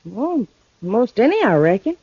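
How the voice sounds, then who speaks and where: A woman's voice: on the high side, sing-song, measured pace, warm tone, slightly rough, dry recording, heavily acted. An actress playing a plucky, stubborn young mountain girl with a blunt, folksy rural Southern drawl in a radio western. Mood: blunt, scheming. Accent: rural American South. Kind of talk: 1950s radio western acting. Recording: dull vintage radio recording.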